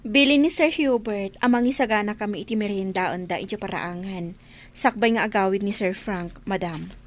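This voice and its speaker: A woman's voice: mid-range voice, normal speed, natural voice. A woman acting a polite, dutiful, deferential young housemaid in a radio drama, with an Ilocano Filipino accent.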